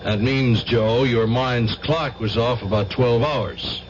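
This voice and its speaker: An actor in a radio drama playing a methodical police lieutenant, deliberate and official as he questions a suspect. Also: mid-range voice, natural voice. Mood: probing, measured. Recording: muffled, noisy old radio recording.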